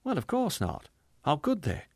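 A man voicing a shrewd, furtive schemer in a storybook, muttering and confiding in a low, anxious way. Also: wildly swooping pitch, normal speed, vocal fry, a little mellow, no room sound, studio quality, heavily acted. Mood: furtive, uneasy.

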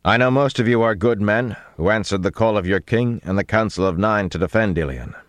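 A man voicing a firm, unyielding king in an audiobook, with a measured, authoritative delivery. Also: rumbling low, lively intonation, breathy edge, no echo, full character voice. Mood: firm, commanding. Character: young male king, commanding, firm, unyielding.

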